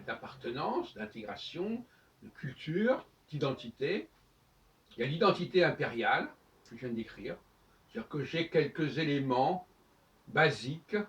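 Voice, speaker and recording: A man giving a spoken lecture on his own, talking in halting bursts with many restarts. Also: fairly high pitch. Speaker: host. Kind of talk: lecturing monologue. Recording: dull sound, from far off the mic.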